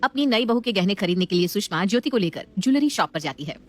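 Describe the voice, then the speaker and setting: A woman narrates a scripted domestic story at a quick clip. Her voice sits at an ordinary middle pitch for a woman as she tells the tale. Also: lively intonation.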